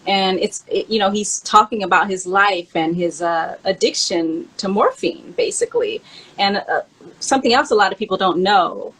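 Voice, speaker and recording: A woman's voice: medium pitch, normal speed, a bit bright, husky, low-quality recording. A woman in a casual conversation, reminiscing in a candid, conversational way. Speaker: host and memoir author counting down favorite songs. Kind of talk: casual chat, personal reminiscing.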